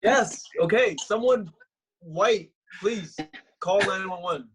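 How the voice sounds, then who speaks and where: A man's voice: high-pitched, slow, a bit bright, slight background noise, rough recording. A man playing a cocky, blunt, self-absorbed housemate in a comedy table read. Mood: exasperated.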